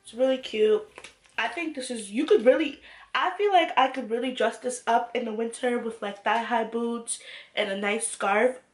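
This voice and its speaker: A woman's voice: fairly high pitch, normal speed, roomy sound. A young woman with a cold, chatting to camera in a clothing haul video and gushing about each piece. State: congested from a cold. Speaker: fashion haul vlogger. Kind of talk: casual haul chat to camera. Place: small room, glittery backdrop.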